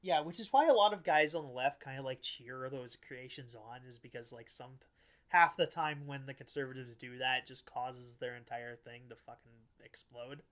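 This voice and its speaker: Male podcast host, mid-range voice, loose and halting delivery, thinks aloud in informal political chat. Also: medium pitch, a bit bright. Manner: rambling, halting, frequent "like", casual swearing. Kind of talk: casual political discussion.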